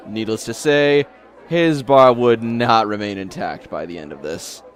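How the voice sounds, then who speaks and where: A man's voice: lowish voice, unhurried, some chatter behind. A man reading scripted story narration in a theatrical, wordy storytelling manner.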